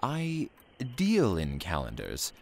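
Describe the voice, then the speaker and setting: A man playing a mysterious, courteous door-to-door salesman in an audio drama, speaking in a formal, smooth way. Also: deep voice, wildly swooping pitch, takes it slowly, darkish tone, slight background noise, full character voice. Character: mysterious polite male salesman dealing in calendars.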